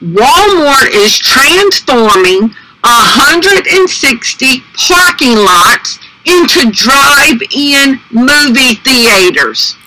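A man's voice: very high-pitched, very animated, extremely slow, background noise. A man giving emphatic commentary, repeatedly asking his audience "okay?". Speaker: conspiracy video commentator. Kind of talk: played video commentary.

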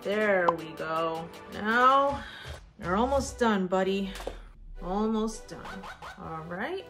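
A woman's voice: mid-range voice, lively intonation, slow pace. A young woman chatting casually to viewers as an unboxing vlogger, gushing over cute things and talking to objects as she handles them. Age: young adult. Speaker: vlogger unboxing collectible figures. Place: indoors, living room at home. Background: background music.

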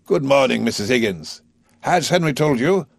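A man's voice: medium pitch, animated, normal speed, vocal fry, husky, no echo, clean studio sound, pitched above the performer's own voice. A man voicing an earnest, concerned older male colonel.

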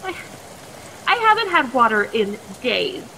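A woman's voice: on the high side, very animated, unhurried, creaky, bright, forceful tone, full character voice. A woman playing a plucky, nervous, chatty teenage captive in a radio play, stammering politely in a reverberant recording. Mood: anxious, chatty. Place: video call performance. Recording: long echoing reverb, faint noise.

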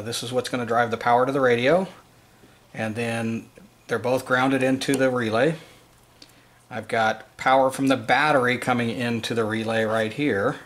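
A man's voice: medium pitch, a bit bright. A man explaining a hands-on task step by step in an informal, unscripted way, as the presenter of a DIY tutorial. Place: indoors at a work table in a room.

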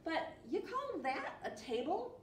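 A woman voicing a lively, sociable woodchuck with emphatic delivery in a children's storybook. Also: very high-pitched, unhurried, pitched above the performer's own voice, full character voice. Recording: roomy, distant mic, faint noise.

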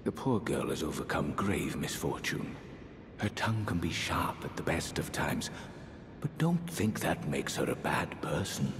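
Fantasy game casting: eerie, genteel high priest, older, unhurried and breathy, grandiloquent, set in echoing reverb with faint rumble. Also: male voice, sing-song, full character voice. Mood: gently indulgent. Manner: theatrical, archaic, drawn-out phrasing. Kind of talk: dramatic fantasy game character dialogue. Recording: echoey hall reverb, faint rumbling noise.

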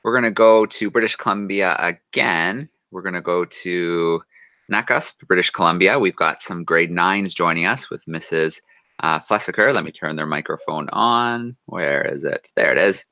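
A man in his thirties hosting a live video call for classrooms, brisk and friendly as he calls on each class. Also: low-pitched, bright, forceful tone, no room sound. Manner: brisk, friendly, addresses kids directly. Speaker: host. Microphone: webcam on video call.

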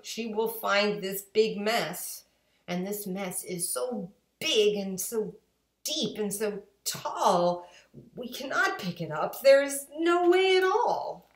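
A woman acts out a fretful storybook fish, a male pet who scolds urgently. The voice is pushed high and swings about with alarm, in a roomy kitchen echo. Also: animated, takes it slowly. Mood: worried scolding.

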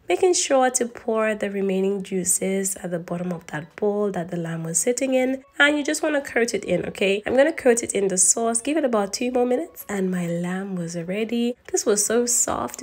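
A woman's voice: medium pitch, gravelly. A woman narrating her own cooking video in a voice-over, chatting directly with her viewers as she walks through each step. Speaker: cooking channel host.

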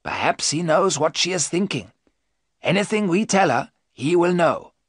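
Grave elderly professor, read by a man, measured and commanding, animated delivery, dry studio sound. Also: on the high side, breathy edge, no echo, pitched above the performer's own voice.

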